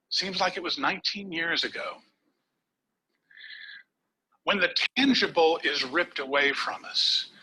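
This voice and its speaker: A man giving a prepared contest speech over a webcam, with dramatic pauses and words dropped to a whisper for emphasis. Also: a little high, sharp tone, husky, low-quality recording. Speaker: contest speaker. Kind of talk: prepared speech delivered online.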